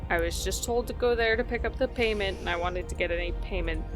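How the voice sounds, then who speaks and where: A woman's voice: mid-range voice, fast talker. A woman improvising in-character dialogue as a tabletop role-player, in a colloquial, rambling way. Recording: music bed behind the voice. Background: background music.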